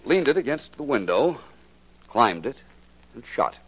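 An actor playing a confident, clever detective in a 1940s radio drama, with an assured, explanatory manner. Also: male voice, mid-range voice, very animated, a little slow, fairly bright tone, breathy edge, full character voice, poor audio. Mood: confident, explaining.

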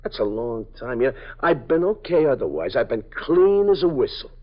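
A jittery pleading parolee. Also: male voice, medium pitch, sing-song, normal speed. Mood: nervous. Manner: whiny, stammering, pleading. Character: nervous male parolee informant, pleading. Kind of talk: 1950s radio drama acting. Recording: dull old radio broadcast.

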